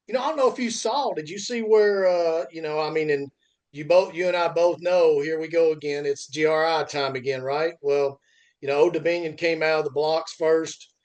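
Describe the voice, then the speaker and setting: A man's voice: on the high side, normal speed, darkish tone. A middle-aged man chatting casually as a podcast host, rambling and often circling back over his phrases. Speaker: podcast host, freight industry veteran.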